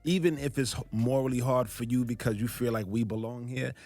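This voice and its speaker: A man's voice: mid-range voice, fairly even intonation, brisk, darkish tone, no echo, soft background music. A man co-hosting a radio talk show, chiming in and agreeing in casual chat. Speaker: radio co-host.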